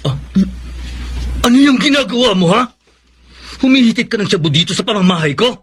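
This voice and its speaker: High-pitched, raspy, angry husband. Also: male voice, lively intonation, a little fast, a bit bright, exaggerated voice. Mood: stern, scolding. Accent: Filipino. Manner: scolding, confrontational, threatening. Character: angry, stern husband fed up with his addicted wife. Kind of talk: Filipino radio drama acting.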